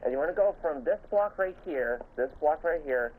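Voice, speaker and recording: A man's voice: fairly high pitch, lively intonation, moderate pace, darkish tone, slight background noise, poor audio. A man giving casual game tutorial commentary as he builds, repeating himself and stumbling over words with an occasional stutter. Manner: stumbling, repetitive delivery, stutters at times. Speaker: gaming video host.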